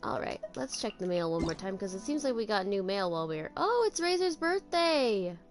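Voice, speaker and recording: Casual female game streamer, lively pitch swings, muses aloud, game music behind. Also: a little fast. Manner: thinks aloud, talking to herself. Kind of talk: casual live gameplay commentary. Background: game music and sound effects.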